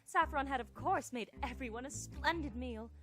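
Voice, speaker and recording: A woman acts out a sweet young lady caught up in a cartoon murder mystery. Her voice is high and bright, with a refined, pleading, melodramatic manner. Faint music plays behind her. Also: moderate pace. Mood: subdued, polite. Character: distraught sweet young lady murder suspect, genteel and dramatic. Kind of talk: animated murder-mystery parody acting.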